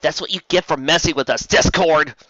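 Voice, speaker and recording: A man's voice: on the high side, brisk, sharp tone, highs cut off, slight background noise, pitched above the performer's own voice. A man voicing a brash, defiant young female pony guard with a blunt, indignant manner in a fantasy story. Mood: defiant, impatient.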